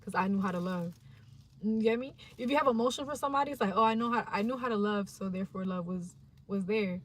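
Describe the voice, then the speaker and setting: A young woman livestreaming, chatting casually and rambling in a slangy, animated way to her viewers. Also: medium pitch, quick pace.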